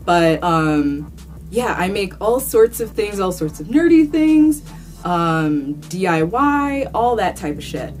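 Young woman chatting to her camera, kinda low voice, rambly and sweary, faint music under it. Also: very animated, slow, darkish tone. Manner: chatty and rambling, curses casually, many fillers and restarts. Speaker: cosplay vlogger answering viewer questions. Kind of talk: casual Q&A to camera. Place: small indoor room, plain wall.

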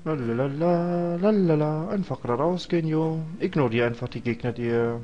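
A man's voice: on the high side, moderate pace, lo-fi sound, faint music behind. A young man with a cold, casually rambling and joking to himself while commentating a video game. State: has a cold. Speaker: let's play host.

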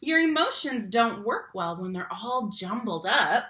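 Bright, high-voiced young woman reading to children. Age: young adult. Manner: animated, slow emphatic phrasing on key words, addresses children directly. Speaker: read-aloud teacher and storyteller. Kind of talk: expressive picture-book read-aloud for young children. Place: small room at home, indoors. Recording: roomy home webcam sound.

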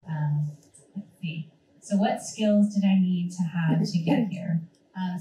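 A woman with a cold gives a relaxed talk on her museum work, her voice cracking now and then. Her tone is low and mellow. She is picked up from a distance in an echoey lecture room. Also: slight background noise. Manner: relaxed, many ums. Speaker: museum curator giving a talk. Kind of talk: college career presentation. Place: college lecture room with audience.

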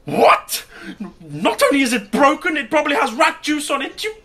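A man's voice: very high-pitched, wildly swooping pitch, moderate pace, a crowd in the background, exaggerated voice. Man acting pompous, stammering posh brother. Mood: indignant, flustered. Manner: stammering, indignant, fussy. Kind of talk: comedy audio drama acting.

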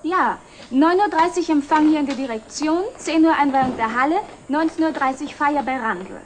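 An actress playing a brisk, composed secretary to a company director in an old television drama. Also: female voice, high voice, slight background noise, natural voice. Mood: brisk, efficient. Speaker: actor in a television series. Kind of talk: 1960s German television drama acting.

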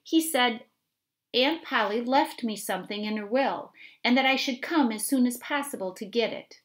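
A woman's voice: on the high side, moderate pace, voice pushed up, close to an everyday voice. A woman reading aloud in the voice of an earnest young girl.

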